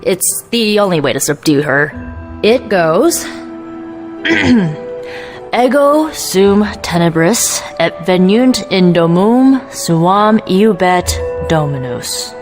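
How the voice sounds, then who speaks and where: A woman's voice: fairly low pitch, sing-song, extremely slow, heavily acted. A woman voicing a brash, sly young sorceress in a video game, casual and persuasive, with sardonic delivery. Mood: urgent, pushy. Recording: music bed behind the voice.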